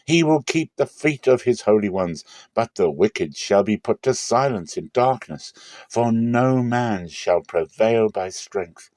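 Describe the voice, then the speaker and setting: A man voicing a rejoicing woman praying in triumph in a dramatic scripture reading, proclaiming with slow stressed phrases. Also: fairly low pitch, animated, a little mellow, no room sound. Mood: exultant.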